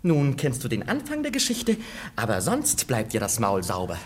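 A man's voice: medium pitch, wildly swooping pitch, normal speed, slightly rough. An actor, a man, playing a blustering, self-important and foolish rustic servant in a comic radio play. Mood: smugly teasing. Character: boastful, blabbering sly-dim male rustic servant.

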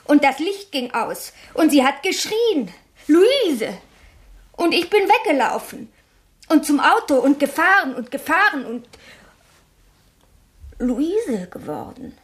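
An actress in a German radio drama playing a traumatised, childlike, fearful woman who speaks haltingly and repeats herself. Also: high-pitched, very animated, full character voice. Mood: frightened, agitated. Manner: halting, repetitive, childlike storytelling. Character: traumatised young woman living under her dead double's name, childlike, fearful.

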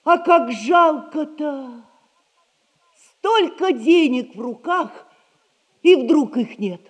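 A woman's voice: sits high, lively intonation, very slow, heavily acted. An actress playing a poor, honest, plain-spoken middle-aged widowed mother in a theatrical audio comedy. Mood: regretful. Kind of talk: classic Russian stage comedy acting, audio play.

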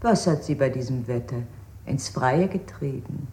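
An actress playing a cool, possessive interrogator in a 1960s radio play. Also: female voice, extremely low pitch, measured pace, vocal fry, dark tone, exaggerated voice. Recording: echoey room.

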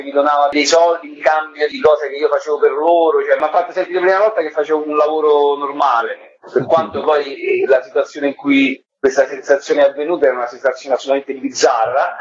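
A man speaking as a guest in a casual interview, with an informal, rambling, colloquial way of talking. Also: fairly high pitch. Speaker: guest, stage storyteller. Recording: dull, lo-fi radio audio.

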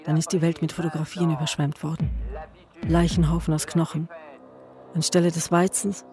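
A woman's voice: low-pitched, level tone, moderate pace, mellow, natural voice. An actress playing a grieving woman in a radio drama, waiting for her missing man and narrating inwardly in sparse, fragmented phrases. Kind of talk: German radio drama, literary monologue. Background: music bed.

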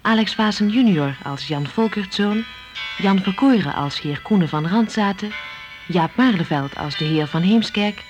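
A woman's voice: mid-range voice, lively intonation, moderate pace. A woman reading scripted radio drama narration and credits as announcer. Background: music.

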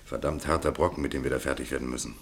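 A man's voice: a bass voice, steady tone, creaky. An actor playing an eloquent, shrewd and courteous male defence lawyer in a German radio play, rhetorical and emphatic. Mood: measured, pensive. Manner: rhetorical, emphatic in court, dry and measured in private.

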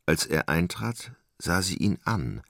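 A man narrating a literary radio play in a measured storytelling manner. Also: low-pitched, big pitch swings, gravelly, a bit bright, no room sound, clean studio sound.

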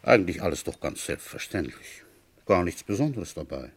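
A man playing a grumbling police inspector in a 1960s German radio play, telling an old case in a husky, conversational way. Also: deep voice, big pitch swings, moderate pace, heavily acted. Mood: wry, reflective.

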